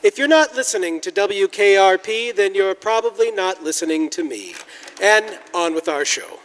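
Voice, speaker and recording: A man's voice: high voice, normal speed. A man hosting a live stage show, making joking announcements and thanking sponsors. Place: church venue, live show. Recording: live hall with audience and noise.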